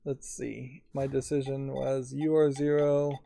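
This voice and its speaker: Slow, mellow man musing over a game. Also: mid-range voice, flat delivery, creaky, no echo, clean studio sound. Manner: musing, analytical. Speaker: let's play host. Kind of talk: casual game commentary.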